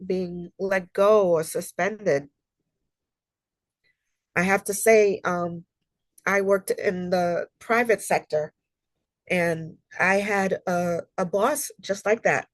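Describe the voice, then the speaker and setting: A woman's voice: on the low side, no room sound. A woman who is a human rights consultant gives an online library presentation while getting over a cold, which leaves her a little nasal. She talks earnestly and conversationally, with frequent ums and personal stories. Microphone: video call.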